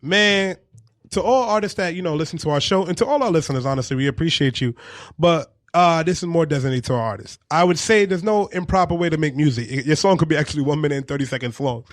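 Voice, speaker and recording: A man's voice: fairly high pitch, expressive, fast, dry recording, studio quality. A man co-hosting a casual radio chat, speaking earnestly and with slang. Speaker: radio co-host.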